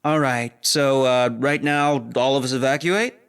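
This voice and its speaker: A man playing an easygoing but hesitant space security officer in an audio drama, speaking casually and haltingly. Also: mid-range voice, steady tone, a bit bright, clear, resonant tone, natural voice. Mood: hesitant, taken aback.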